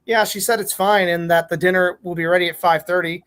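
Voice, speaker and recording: Guy doing a chirpy little anime kid, high voice, super eager. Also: fairly even intonation, fairly quick, fairly bright tone, clear, resonant tone. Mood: excited. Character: excited chirpy little boy, eager son from anime fanfic.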